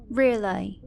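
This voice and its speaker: A woman's voice: medium pitch, creaky, darkish tone, a little hiss or hum. A woman with an Australian or British accent playing a sassy, caring mechanic girlfriend in an ASMR roleplay, speaking emphatically and affectionately to a partner. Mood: upset, scolding. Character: sassy, caring female mechanic girlfriend, scolding then tender. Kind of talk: ASMR roleplay audio drama.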